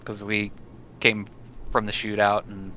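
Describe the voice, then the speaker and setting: Bright low-voiced man on lo-fi audio. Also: completely flat delivery, unhurried. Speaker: tabletop game player. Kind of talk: casual game chat. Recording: dull lo-fi sound, background noise.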